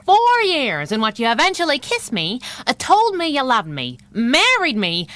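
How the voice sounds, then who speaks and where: A woman's voice: sits high, huge pitch swings, moderate pace, a bit bright, exaggerated voice. A woman playing a feisty, wisecracking masked heroine in a 1930s-style radio adventure, sassy and punchy with old slang. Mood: angry, sardonic.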